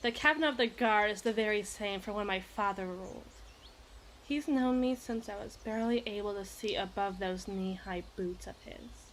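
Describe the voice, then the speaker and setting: A woman's voice: mid-range voice, moderate pace, fairly bright tone, slight background noise, close to an everyday voice, rough recording. A woman playing a possessive, imperious evil queen in an ASMR roleplay, speaking in formal, teasing, theatrical diction. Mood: haughty, dismissive.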